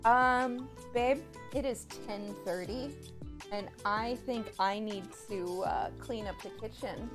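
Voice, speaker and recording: A woman's voice: a little high, takes it slowly, music in the background. A woman co-hosting a cooking stream from her home kitchen, chatting in a playful, rambling way. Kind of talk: casual chat while cooking.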